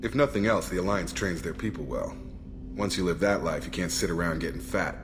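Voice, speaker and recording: An actor playing a relaxed male ex-military officer in a video game, speaking in an easygoing, conversational way. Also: low-pitched, brisk, background noise, lightly acted.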